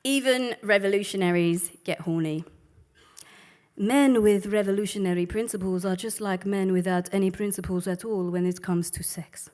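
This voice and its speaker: A woman doing a sharp-tongued Egyptian woman with an Egyptian accent, dry and mocking as she tells her story. She sits in a middle pitch, unhurried and knowing, a worldly figure read aloud as a monologue. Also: lightly acted. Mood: scornful. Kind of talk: dramatic reading of a monologue.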